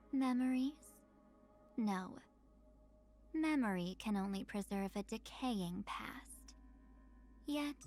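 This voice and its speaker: Actress playing enigmatic young woman, unhurried, poised, gentle, poetic, video game character. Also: mid-range voice, lightly acted. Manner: measured, poetic delivery.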